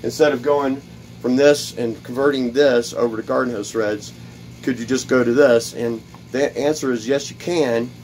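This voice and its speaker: A man talks through a build at his workbench, recorded close by a camera set on the bench. His voice sits in a plain middle pitch. He rambles casually, repeating words and filling pauses, and a faint workshop noise stays under his voice. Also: steady tone, a little mellow, low-quality recording. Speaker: DIY video host. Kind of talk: casual workshop how-to demonstration. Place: cluttered home workshop at a workbench.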